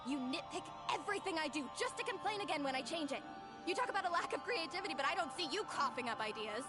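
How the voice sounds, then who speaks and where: A woman's voice: sits high, expressive, quick pace. An actress playing an overworked young woman artist, exasperated and ranting at her boss. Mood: angry. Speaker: overworked employee. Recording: loud game music behind.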